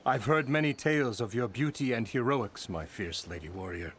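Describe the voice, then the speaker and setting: An actor playing a pompous, blustering middle-aged labor boss who talks in grand, flattering, evasive turns in a video game. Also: male voice, mid-range voice, normal speed, slight background noise, close to an everyday voice.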